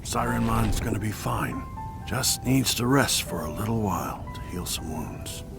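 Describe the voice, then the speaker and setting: An actor playing a devoted, remorseful monster village leader in a video game, speaking earnestly and emotionally. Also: male voice, lowish voice, expressive, a little slow, gravelly, music in the background. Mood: hopeful, resolute. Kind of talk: dramatic video game cutscene acting.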